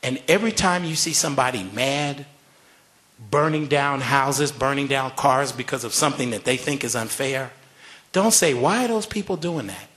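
A man's voice: mid-range voice, normal speed, fairly bright tone. Earnest man urging a panel audience. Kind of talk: panel discussion.

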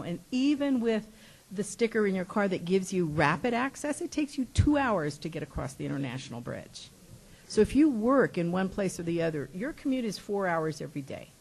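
A woman's voice: on the low side, a little mellow, rough recording. A middle-aged woman from El Paso, Texas, answering questions on a panel in an impassioned, rambling way, with restarts and laughs as she talks.

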